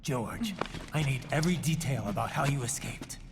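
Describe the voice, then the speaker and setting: A man's voice: medium pitch, lively intonation, normal speed, vocal fry, big-room sound, noisy background. A man playing a stern, determined cyborg soldier hero in a video game. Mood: grave.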